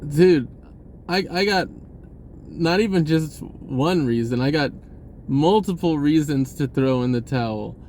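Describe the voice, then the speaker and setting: A man's voice: on the high side, animated, unhurried, darkish tone, a little hiss or hum. A man talking casually about spirituality in a rambling way, repeating words and swearing offhand. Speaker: spiritual vlogger.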